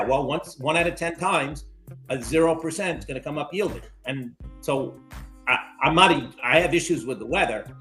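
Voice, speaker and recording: A man as the guest in a casual podcast chat, explaining his reasoning in a measured way, restarting often and checking in with "right?". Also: medium pitch, a bit bright.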